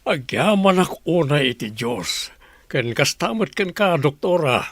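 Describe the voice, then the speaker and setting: A man playing a cheeky, idle adult grandson in a radio comedy drama, expressive and blunt. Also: fairly high pitch, a little slow, dry recording. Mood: polite.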